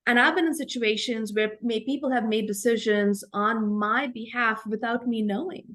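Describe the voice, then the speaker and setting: A woman's voice: on the high side, normal speed, fairly bright tone. Indian-accented woman in her 40s, earnest, reflective, keeps asking "right?". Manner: earnest, reflective, often ends points with "right?". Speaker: guest. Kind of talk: conversational interview.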